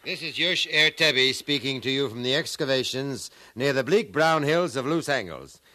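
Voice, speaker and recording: A brisk radio correspondent in a 1950s drama. His bright, forceful voice is mid-pitched and dry, with a formal announcer's delivery. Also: lively intonation, no room sound. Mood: formal, announcing. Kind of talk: 1950s radio newscast acting.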